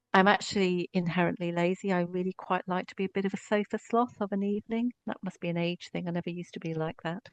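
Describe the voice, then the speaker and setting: An English-accented woman in her sixties talks as a podcast guest. She is open and chatty, with a slightly low voice and a touch of self-deprecation. The recording is dry and close, with no room sound. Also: no room sound, rough recording. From Scotland. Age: 60s. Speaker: guest psychotherapist. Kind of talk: casual podcast conversation.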